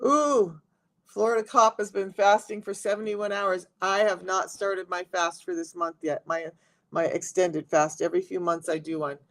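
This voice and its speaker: A middle-aged woman with a raspy voice, chatting casually to viewers on a live stream as a health coach. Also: lowish voice, steady tone, moderate pace. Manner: chatty, talks straight to viewers.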